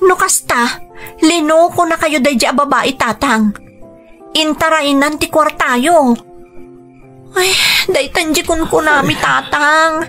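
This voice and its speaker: High-pitched Ilocano daughter. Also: female voice, measured pace, pauses often, a bit bright, faint music behind. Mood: exasperated, caring. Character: grown daughter, caring yet exasperated with her father. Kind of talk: emotional Ilocano radio drama acting.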